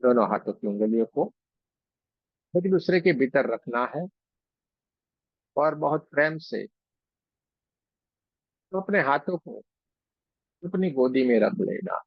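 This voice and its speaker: Male meditation teacher, very slow and gentle, gravelly fry in the voice, muffled recording. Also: mid-range voice, animated, no echo. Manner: gentle, unhurried, devotional, phrase by phrase. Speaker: meditation guide and Reiki teacher. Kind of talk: guided meditation, slow spoken instruction with pauses.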